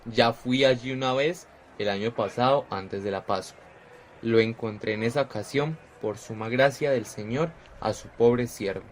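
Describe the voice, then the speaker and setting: A man's voice: medium pitch, normal speed, a little hiss or hum, lightly acted. A man playing a solemn preaching disciple. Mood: recalling. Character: Esteban, a gentle earnest male disciple. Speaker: disciple exhorting the crowd. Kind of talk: radio drama acting.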